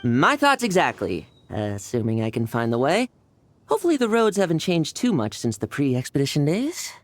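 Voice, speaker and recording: A woman voicing an energetic, cocky young male knight in a video game. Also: sits low, moderate pace, slight background noise. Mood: friendly. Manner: energetic, cocky, laughs easily.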